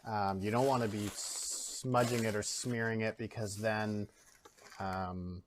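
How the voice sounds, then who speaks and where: A man teaches a watercolour activity from his home studio, speaking in a fairly low voice. He talks through each step in an easygoing way, filling pauses with ums and uhs. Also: noisy background. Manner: relaxed, talks through steps with many fillers. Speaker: art educator. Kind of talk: casual art demo.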